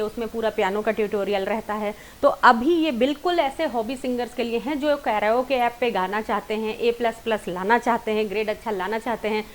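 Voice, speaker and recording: A woman's voice: fairly high pitch, slight background noise. A woman with an Indian accent giving an informal singing lesson online, explaining directly to viewers and mixing in English terms. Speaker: singing teacher.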